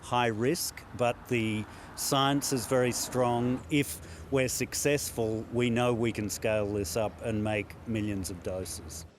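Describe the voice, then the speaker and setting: A man's voice: mid-range voice, fairly even intonation, takes it slowly, few pauses, a little hiss or hum. A man speaking as a research spokesman in a soundbite for the news.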